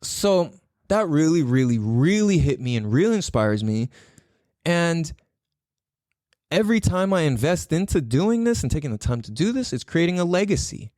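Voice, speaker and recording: Animated, swearing male podcast host. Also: a little high, moderate pace, no echo, studio quality. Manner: profane, emphatic, repeats words for stress; excitable, laughs often. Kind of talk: casual solo podcast monologue.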